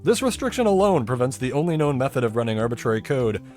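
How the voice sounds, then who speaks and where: A man's voice: mid-range voice, big pitch swings, fast, a little mellow, soft background music. A man delivering scripted explainer narration as the host of a video game breakdown, methodical and dryly humorous.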